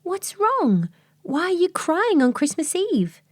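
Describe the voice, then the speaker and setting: A woman voicing a tiny, kind male Christmas elf with a tiny, high voice. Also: big pitch swings, slow pace, no echo, studio quality, heavily acted. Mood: gentle.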